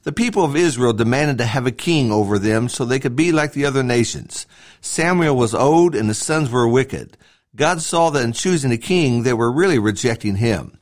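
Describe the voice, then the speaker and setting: A middle-aged man reading a devotional talk in an earnest, emphatic, preacherly way. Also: mid-range voice. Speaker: preacher hosting a devotional podcast. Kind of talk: scripted devotional talk, read aloud.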